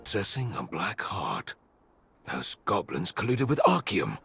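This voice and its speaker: An actor playing a grave, courteous commander in a fantasy video game, with a husky voice. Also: male voice, sits low, huge pitch swings, unhurried, fairly bright tone, soft background music, full character voice. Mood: concerned. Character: grave, courteous male commander giving a mission.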